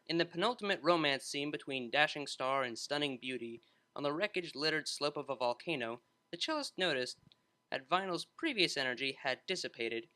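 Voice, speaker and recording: A man narrating a scripted story in an expressive reading style. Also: a little high.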